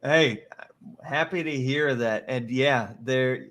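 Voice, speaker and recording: A man's voice: medium pitch, takes it slowly, a bit bright. A man hosting a casual online talk, upbeat and rambling, with frequent restarts. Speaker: host.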